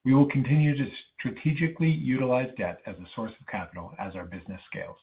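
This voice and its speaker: A man's voice: mid-range voice, steady tone, quick pace, gravelly. Male corporate presenter, formal prepared delivery, narrow telephone sound. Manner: formal, reading prepared remarks. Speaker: company finance executive. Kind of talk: scripted earnings call presentation. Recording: muffled narrow phone line.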